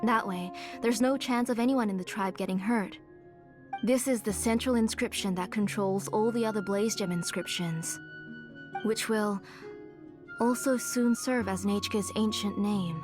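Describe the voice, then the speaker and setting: An actress playing a weary, devoted elderly aunt who speaks in a soft, tired, measured way in a video game. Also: female voice, mid-range voice, close to an everyday voice. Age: older. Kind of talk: video game dub acting. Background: game music.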